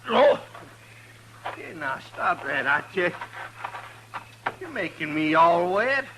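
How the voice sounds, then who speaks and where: Stubborn drunk mountain man, high swooping voice, rural American drawl, radio western, noisy vintage recording. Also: sing-song, slow pace. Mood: befuddled. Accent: American rural hillbilly. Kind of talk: old-time radio western acting.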